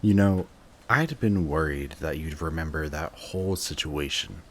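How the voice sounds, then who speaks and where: A man acts out a bitter, guarded male villain in an audio roleplay monologue. His voice sits very low and moves very slowly, with intense, sardonic delivery and dramatic pauses. Clear background noise sits behind the voice. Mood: anguished, confessing. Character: bitter adult male villain, guarded, secretly devoted to rival.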